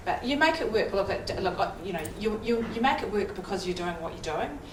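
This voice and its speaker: A woman's voice: mid-range voice, expressive, a little fast, distant mic. A woman on a discussion panel, giving advice in a hesitant, rambling way with many restarts. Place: lecture room at an evening event. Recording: roomy hall from afar with noise.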